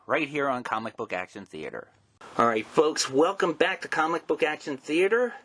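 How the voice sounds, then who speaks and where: A man's voice: medium pitch, fairly bright tone. A man hosting a comics show, talking casually through introductions and announcements.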